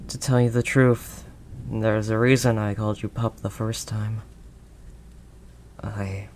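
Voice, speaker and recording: A man playing a protective older male werewolf loner, gentle and conversational, in an intimate audio roleplay. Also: lowish voice, a little hiss or hum. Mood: wistful, tender. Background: rain ambience sound effects.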